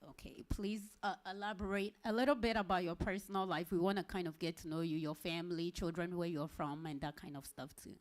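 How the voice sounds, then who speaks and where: A woman's voice: on the low side, steady tone, fairly quick, few pauses. A woman hosting a live interview show, friendly, introducing her guest and repeatedly asking viewers to share and subscribe. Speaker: host.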